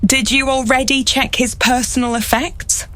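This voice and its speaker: An actress playing a composed, cool-headed adventurer in a fantasy game, measured and self-possessed. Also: female voice, high voice, fairly even intonation, bright, forceful tone, a little hiss or hum.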